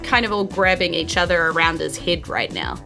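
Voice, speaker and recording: A woman's voice: on the low side, very animated, a little fast, gravelly. A woman with a New Zealand accent narrating a tabletop game as game master, informal and encouraging. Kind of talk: improvised tabletop game narration and casual chat. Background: background music.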